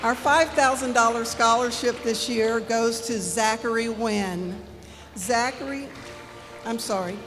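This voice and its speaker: A woman presenting donations and scholarships at a community ceremony, reading names slowly at a podium microphone and correcting herself politely. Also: fairly high pitch. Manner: reads names slowly with small apologetic corrections. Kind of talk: formal announcing into a microphone. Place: large indoor event hall. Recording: long hall reverb, music playing. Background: background music.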